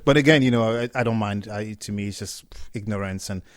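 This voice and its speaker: A middle-aged man from Cameroon, a startup co-founder talking casually as an interview guest, relaxed and often restarting his words. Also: on the low side, brisk, no echo, studio quality.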